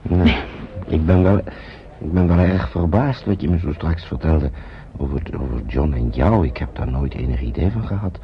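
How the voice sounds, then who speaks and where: Deep, gravelly older father. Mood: flustered, surprised. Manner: halting, repeats words, soothing. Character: gentle older father shepherding children through wartime France. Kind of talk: old Dutch radio drama acting. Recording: muffled, noisy old radio drama recording.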